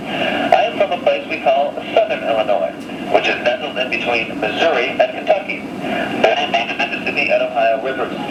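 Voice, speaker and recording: A male radio presenter with a mid-pitched voice reads in a measured, carefully enunciated way. He comes through a shortwave broadcast with clear noise over it and a noticeable echo around the words. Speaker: radio host. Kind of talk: reading a listener's letter on air.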